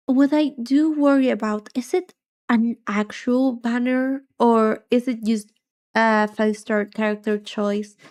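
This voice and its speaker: Soft, mellow woman streamer, unhurried. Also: fairly high pitch. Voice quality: soft-voiced. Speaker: livestreamer, vtuber. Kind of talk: casual chat reacting to a game livestream.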